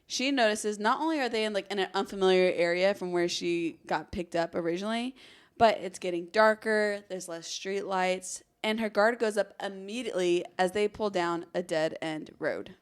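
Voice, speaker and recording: A woman's voice: mid-range voice, normal speed, smooth. A woman hosting a true crime podcast, telling the story dramatically with casual conversational asides.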